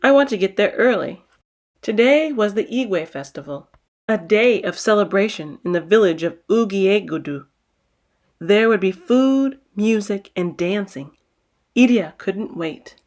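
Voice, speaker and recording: A woman's voice: medium pitch, lively intonation, a little slow, darkish tone. A woman narrating a children's picture book as a storytime host, reading expressively.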